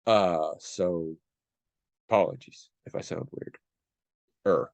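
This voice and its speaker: A man's voice: sits low, takes it slowly, gravelly, darkish tone, no echo. A man in his forties co-hosting a podcast. He is stuffed up and talks in a rambling, self-deprecating way with many restarts. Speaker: podcast co-host. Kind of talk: casual chat.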